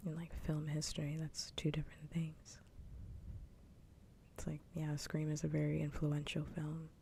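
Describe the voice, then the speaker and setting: A woman speaking in a soft whisper, chatting casually in the style of an ASMR livestream. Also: sits low, completely flat delivery, moderate pace, creaky, a little hiss or hum. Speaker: livestream host. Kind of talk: casual chat with viewers.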